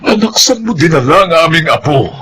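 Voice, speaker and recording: An elderly Filipino father, tender and worried. His radio drama delivery moves widely in pitch, over slight background noise. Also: animated, fairly quick, bright, forceful tone. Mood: alarmed.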